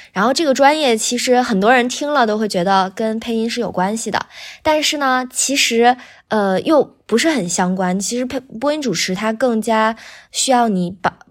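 A woman chatting casually as a guest, telling about her work as a voice actor with animated storytelling. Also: medium pitch. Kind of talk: casual interview chat, recounting a career.

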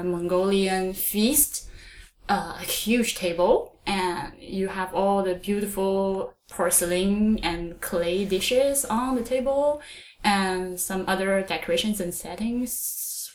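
A woman podcast host whose first language is Chinese, speaking English with a Chinese accent in a chatty, wry way and sometimes searching for words. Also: mid-range voice. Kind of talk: casual conversation. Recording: echoey room sound.

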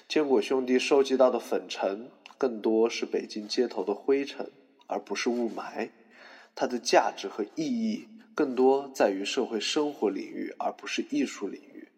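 A man's voice: medium pitch, moderate pace, a little mellow, close to an everyday voice. A man playing a stern, pedantic art critic who argues in formal academic terms in a scripted mock trial. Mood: critical, dismissive. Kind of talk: scripted argument in courtroom drama. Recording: reverberant hall.

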